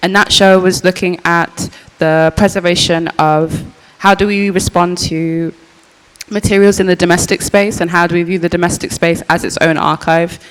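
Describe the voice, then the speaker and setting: A young woman from with a very quiet voice, speaking reflectively on a panel in a large public hall. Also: lowish voice, steady tone, normal speed, clear, resonant tone. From Birmingham, England. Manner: reflective, searching, with fillers and restarts. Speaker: panel speaker, curator and archivist. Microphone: panel microphone. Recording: reverberant hall.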